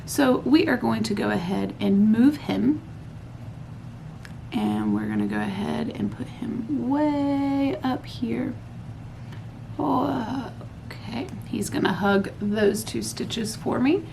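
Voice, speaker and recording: A woman, hosting a casual craft podcast from home at night, a bit hoarse after losing her voice, chatty and rambling. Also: fairly high pitch, expressive, takes it slowly, noisy background. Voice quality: hoarse, recovering from lost voice. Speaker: podcast host. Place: home at night, laundry running.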